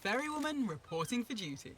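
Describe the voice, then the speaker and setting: A woman's voice: a little high, sing-song, brisk, very noisy. Playful ferrywoman, swooping voice. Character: playful, teasing ferrywoman, an old acquaintance. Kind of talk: short video game voice lines.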